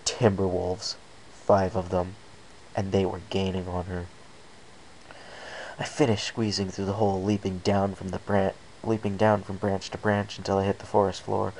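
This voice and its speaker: A man with a low, creaky voice reading a scripted first-person adventure story as narrator. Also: little pitch movement, moderate pace, darkish tone, rough recording. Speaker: amateur story reader. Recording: faint background hiss.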